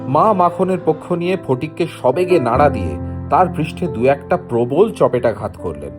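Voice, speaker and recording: A man narrating a literary story from a script in an expressive way. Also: fairly high pitch, normal speed. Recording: music behind the voice. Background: plucked guitar-like music.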